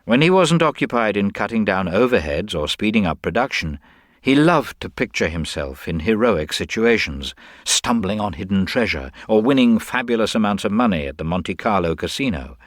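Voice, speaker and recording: A man's voice: lowish voice, sing-song, moderate pace, dry recording. A man giving a scripted story narration with dry wit, as the narrator of a tale.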